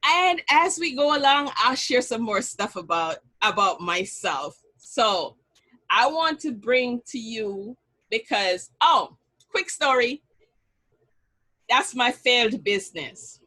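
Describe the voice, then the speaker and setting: A woman's voice: fairly high pitch, very animated, takes it slowly, sharp tone, low-quality recording. A middle-aged woman with a Jamaican accent, hosting an online summit in a chatty, upbeat way and telling personal stories. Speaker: summit host. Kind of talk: casual online event introduction and storytelling.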